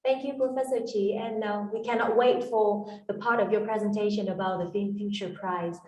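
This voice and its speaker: A woman's voice: mid-range voice, fairly even intonation, normal speed, darkish tone. A woman moderating a webinar in a formal, polite hosting style, introducing and addressing a speaker. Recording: echoey room, distant from mic.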